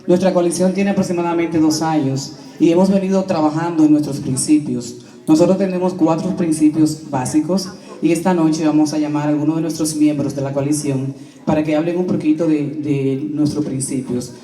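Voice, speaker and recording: A man's voice: a little high, fairly even intonation, fast talker, mellow. A man addressing a public meeting as its moderator and introducing speakers to the room. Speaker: meeting moderator, coalition member. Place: large indoor community hall. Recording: echoey hall, distant microphone.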